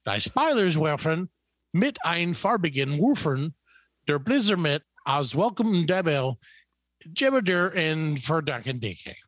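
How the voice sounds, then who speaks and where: A man voicing an emphatic, deadpan German announcer reciting game rules, with a put-on German accent. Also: fairly high pitch, a little slow, dry recording, voice pushed up, heavily acted. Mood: deliberate, emphatic.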